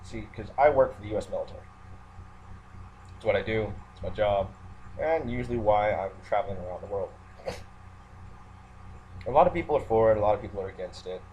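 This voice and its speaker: A man's voice: on the low side, fairly quick, long pauses, darkish tone, dull audio. A man giving an unscripted, matter-of-fact monologue, hesitating as he thinks aloud. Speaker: online video ranter who works in the military. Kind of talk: unscripted monologue rant to listeners. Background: background noise, roommate nearby.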